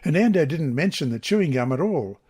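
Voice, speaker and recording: A man reading a mission story aloud as scripted narration, in a slow, measured way. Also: fairly high pitch, lively intonation, darkish tone, husky.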